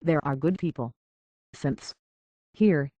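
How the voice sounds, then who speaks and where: An actress playing an earnest, conflicted young woman in a video game, speaking hesitantly and with a soft heart. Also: low voice, slow, no echo. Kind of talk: video game character dialogue.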